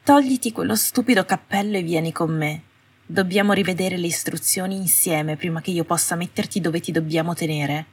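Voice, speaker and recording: A woman voicing a haughty, sarcastic young witch in an audiobook, with a small, brittle, high-strung voice. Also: fairly quick, slightly rough. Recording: roomy, slightly distant home recording.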